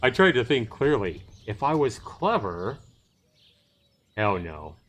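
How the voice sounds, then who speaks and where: A man's voice: medium pitch, very animated, slow pace, fairly bright tone, husky. A middle-aged man narrating a visual novel on a casual livestream, mixing read text with commentary. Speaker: game streamer. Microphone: voice chat microphone.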